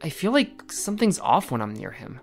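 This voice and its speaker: Woman as moody young creature, wildly swinging pitch. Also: sing-song, normal speed, soft background music. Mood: uneasy, grudging. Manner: blunt, grudging. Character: skeptical, moody young blue jackal-like explorer creature. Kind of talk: fan comic dub voice acting.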